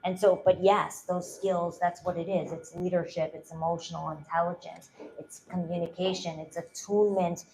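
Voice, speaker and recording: A soft-spoken woman with a fairly low voice presents her coaching work in a calm, measured way. A faint hiss sits under her voice. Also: gravelly, poor audio. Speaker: presenting founder. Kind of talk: pitch presentation and Q&A.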